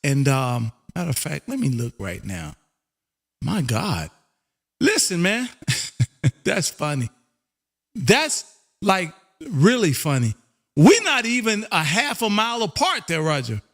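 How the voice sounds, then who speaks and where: A high-voiced American man works the ham radio as host. He is loud and chummy, talks in a folksy, casual way and peppers his sentences with "man". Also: very animated, slow, creaky, long pauses. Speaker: amateur radio operator and livestream host.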